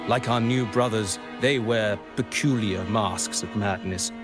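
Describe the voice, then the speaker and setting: Low-voiced, solemn cult zealot, acted by a man. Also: unhurried, close to an everyday voice. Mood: grave, reverent. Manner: solemn, pious, sermonizing. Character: devout male cult follower, solemn preacher of repentance. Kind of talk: video game voice acting. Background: prominent music.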